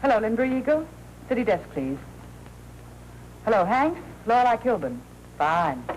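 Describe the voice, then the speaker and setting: An actress with an American accent playing a poised, sharp and stubborn newspaper reporter in a 1940s crime film. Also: female voice, on the high side, sing-song, normal speed. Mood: businesslike. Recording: noisy 1940s film soundtrack.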